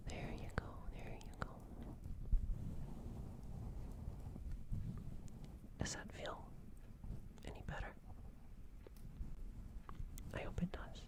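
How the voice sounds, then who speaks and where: A performer playing a gentle, caring alien in an ASMR roleplay, speaking in a breathy, soothing whisper with some hesitation. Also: unhurried, close to an everyday voice. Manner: soft, hesitant, soothing, repeats reassurances. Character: gentle caring alien tending a crash-landed human.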